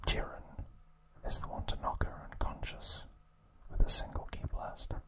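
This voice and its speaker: A man hosting an ASMR video, giving a trivia recap in a soft, breathy whisper with deliberate phrasing and pauses.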